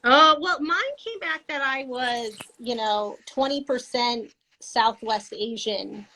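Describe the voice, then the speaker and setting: A woman's voice: on the high side, slow pace, bright, forceful tone, slight background noise, rough recording. A middle-aged woman, a guest artist, chatting casually and animatedly over a video call, laughing often as she talks. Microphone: handheld mic on a video call.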